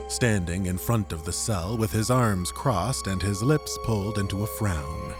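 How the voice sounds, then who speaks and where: Low-voiced male audiobook narrator. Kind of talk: dramatic scripted narration. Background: music.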